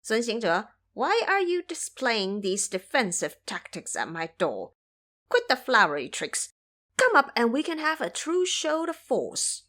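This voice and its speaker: A woman's voice: a little high, big pitch swings, measured pace, a bit bright. A woman voicing a proud, hot-tempered old male monster king in an audio drama, haughty and indignant. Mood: challenging.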